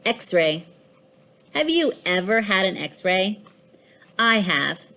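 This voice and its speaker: A woman voicing a boastful, fussy pet house cat in a children's storybook, with expressive, deliberate delivery. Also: medium pitch, a little hiss or hum, exaggerated voice. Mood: confiding, proud. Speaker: author reading own children's book. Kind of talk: scripted children's storybook narration.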